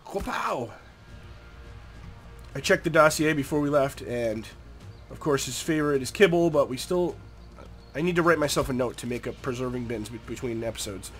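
A man's voice: mid-range voice, animated, moderate pace, long pauses, soft background music. A young man with a stuffy, nasal voice from a cold, giving easygoing, chatty commentary over a game and talking to the creatures on screen. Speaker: gameplay commentator. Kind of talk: casual gameplay commentary.

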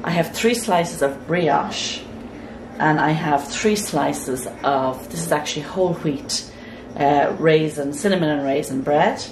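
Woman, low gravelly croaky voice, chatty cooking host, noisy echoey home kitchen. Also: measured pace. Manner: chatty, self-mocking, talks through each step. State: croaky from lack of sleep. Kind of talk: casual cooking demonstration.

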